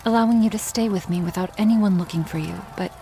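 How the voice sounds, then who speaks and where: A woman voicing a protective, tender female dragon in a soft, intimate ASMR roleplay, with formal wording and a hushed, often half-whispered delivery. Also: mid-range voice, fast talker, slight background noise, natural voice. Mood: composed, resolute.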